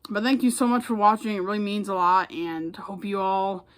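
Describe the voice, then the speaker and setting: A woman's voice: medium pitch, flat delivery, moderate pace. An American woman who works as an announcer chats casually to camera in an informal, rambling, self-effacing way. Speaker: public address announcer and vlogger.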